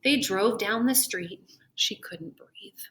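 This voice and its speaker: A woman with a bright voice, speaking as a teacher to young pupils. She takes her time, reading and talking expressively and deliberately at a slow pace. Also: mid-range voice, a little hiss or hum. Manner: deliberate, addressing children. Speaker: elementary school teacher. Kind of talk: expressive read-aloud and talk to young pupils.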